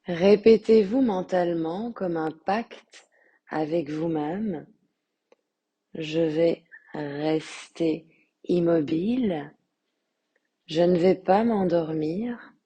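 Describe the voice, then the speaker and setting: Very slow, husky woman guiding meditation. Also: fairly low pitch. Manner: calm, soothing, measured with long pauses. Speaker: yoga teacher guiding relaxation. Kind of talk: guided meditation.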